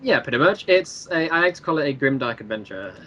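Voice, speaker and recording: Guy guest on a podcast, higher bright voice, just chatting. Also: sing-song, fast. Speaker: podcast guest, audio series creator. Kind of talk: casual chat.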